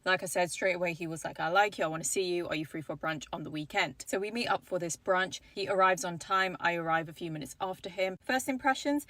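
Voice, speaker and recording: A woman's voice: on the low side, fairly bright tone, dry recording. A woman, chattily telling the story of a date. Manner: chatty, many "like" fillers. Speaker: dating storyteller. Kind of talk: casual storytelling vlog.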